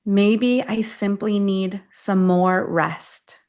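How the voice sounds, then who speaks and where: A woman leading a guided affirmation meditation, speaking deliberately in short phrases with long pauses between them. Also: medium pitch, steady tone, darkish tone. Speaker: guide of a self-affirmation tapping session.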